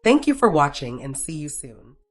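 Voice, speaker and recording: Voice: mid-range voice, sing-song, normal speed. A narrator reading a scripted romantic story, telling it in the third person.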